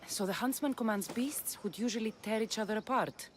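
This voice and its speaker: A woman's voice: on the high side, fairly quick, slight background noise, natural voice. A woman playing a tough, determined mercenary of ancient Greece in a video game cutscene, blunt and commanding. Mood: grave.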